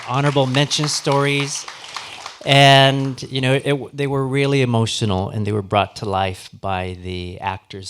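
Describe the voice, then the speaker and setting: A middle-aged or older man giving semi-formal closing remarks at a live event in an earnest, halting way. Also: medium pitch. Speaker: historical society president giving closing remarks. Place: live awards ceremony before an audience.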